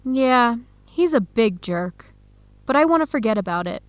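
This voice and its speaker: Earnest young American female researcher, heartbroken, higher pitch, dry studio sound, radio drama dialogue. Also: expressive, a little slow, dry recording. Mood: downcast. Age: young adult.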